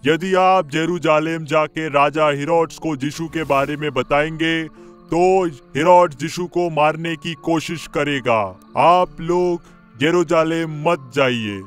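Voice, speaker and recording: A man voicing a solemn heavenly messenger in a cartoon, giving warnings in a loud, grave, drawn-out way. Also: a little high, clean tone, soft background music. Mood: urgent warning. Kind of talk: cartoon Bible story dialogue.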